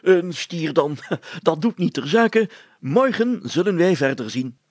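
Picture a pompous village mayor out of a storybook, acted out by a male reader. He declaims grandly and takes offence easily. His voice is pushed up high, with a rough, husky grain. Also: very animated, a little slow, no room sound. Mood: decisive. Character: pompous, blustering village mayor with poetic airs. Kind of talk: storybook character voice.

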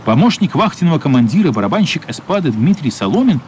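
A man's voice: fairly high pitch, sing-song, fast, a little mellow, slight background noise. A man narrating a radio play as a scripted story.

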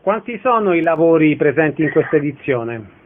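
Phone-ish thin audio, male radio host, slightly high voice, doing interview intros and questions. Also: big pitch swings, moderate pace, narrow, tinny audio, noisy background.

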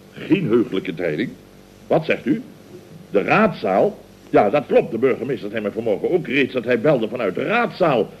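An actor playing a pompous, easily flustered middle-aged contractor, blustering and stammering in a radio comedy serial. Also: male voice, a little high, slightly rough. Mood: pompous, deferential. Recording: muffled old radio recording.

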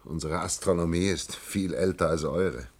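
A young scientist from another world, with a notably low voice. He is earnest and hesitant, then gravely insistent, in the measured manner of a German science-fiction radio drama. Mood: grave, explaining. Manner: halting, hesitant, given to grave pronouncements. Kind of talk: German radio play acting.

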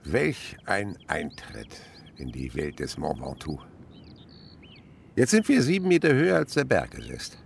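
A man's voice: a bass voice, huge pitch swings, measured pace, vocal fry, slight background noise, full character voice. An older male actor playing an enthusiastic, teasing naturalist uncle in a German radio play, fond and instructive in a theatrical way. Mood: awed, animated.

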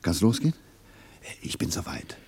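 Ein Schauspieler spielt im Hörspiel einen sturen, herrischen Soldaten mit kratziger Stimme, schroff und eindringlich. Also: male voice, deep voice, very animated, normal speed, heavy background noise. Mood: commanding. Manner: brusque, colloquial, insistent. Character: Larrain, stubborn, commanding, excitable male soldier comrade. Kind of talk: German radio play acting.

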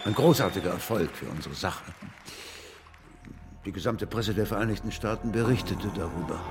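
An actor in a German radio drama playing an earnest, dignified gentleman author who recounts his memories deliberately. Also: fairly low pitch, animated, crowd noise. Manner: dignified, deliberate, slightly hesitant. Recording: music behind the voice.

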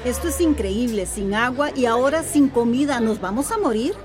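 An actress plays an exasperated woman customer in a radio drama. Her voice is high, and her delivery is indignant and complaining. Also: animated, normal speed, natural voice. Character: exasperated woman shopper at a grocery. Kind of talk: radio soap acting.

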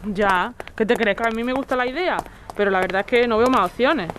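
A woman's voice: a little high, brisk, fairly bright tone, slight background noise, close to an everyday voice. A woman playing an exasperated, worried wife in a radio drama, insistent and reproachful. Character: exasperated, worried wife, wary of others' troubles.

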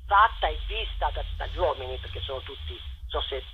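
An older woman giving a spontaneous radio interview as a theatre director, effusive and halting, often rephrasing as she goes. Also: sits low, expressive, muffled recording, background noise.

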